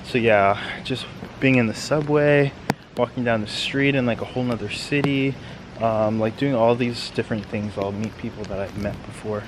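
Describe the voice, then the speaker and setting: Young American man, casual vlogger, mid-pitched, rambling with fillers, handheld camera outdoors over clear street noise. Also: moderate pace. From United States. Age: young adult. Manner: rambling, filler-heavy, chatty. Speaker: vlogger, study-abroad student. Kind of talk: casual vlog narration.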